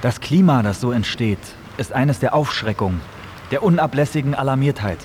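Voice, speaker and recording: A man's voice: medium pitch, lively intonation, normal speed, a little mellow, a little hiss or hum. A man reading scripted documentary narration for a radio feature. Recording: music behind the voice. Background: music.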